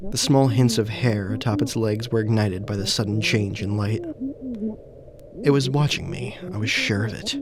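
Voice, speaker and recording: A man acting as an uneasy protagonist who narrates a surreal audio drama in deliberate, literary, descriptive phrases. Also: on the low side, natural voice. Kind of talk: scripted first-person audio drama narration.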